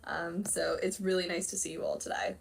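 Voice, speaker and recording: A woman's voice: on the low side, fairly even intonation, fairly quick, creaky. A young adult woman, a recent graduate, introducing herself eagerly and in a friendly way as a co-presenter. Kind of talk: self-introduction. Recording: distant microphone.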